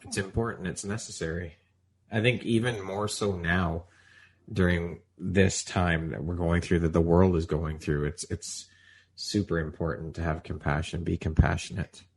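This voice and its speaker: A woman's voice: a bass voice, fairly even intonation, moderate pace, a little mellow, slight background noise. A woman hosting a podcast, asking interview questions and encouraging her guest with short affirmations. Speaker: podcast host.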